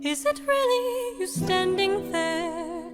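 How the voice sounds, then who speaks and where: Longing wife for stage musical: tender, soaring high register, slow ballad phrasing over full backing music. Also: female voice, expressive, full character voice. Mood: wondering, tender. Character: tender, longing wife greeting long-lost husband. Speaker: musical theatre singer. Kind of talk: gentle sung musical theatre ballad. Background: loud music.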